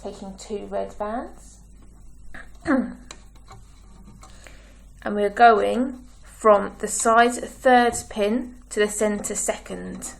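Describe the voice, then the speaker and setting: Woman tutorial host, croaky and gravelly, slow patient delivery, faint clicks behind. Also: mid-range voice, a little hiss or hum. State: croaky, clearing her throat. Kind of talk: step-by-step craft tutorial.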